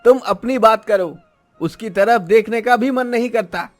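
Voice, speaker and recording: A man acts out an older cartoon customer, high in pitch and hard to please. He complains bluntly about what he is sold. Faint music runs behind him. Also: measured pace. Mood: disgusted. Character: fussy, blunt older male market customer. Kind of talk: cartoon story acting.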